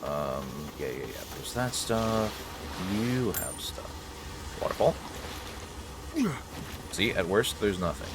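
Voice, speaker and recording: Male gameplay host, deep and animated, joking casually as he plays. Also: slow, pauses often. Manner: playful, jokey asides to game characters. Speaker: gaming host playing through a game. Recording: clearly noisy recording with background ambience. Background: game ambience and action sounds.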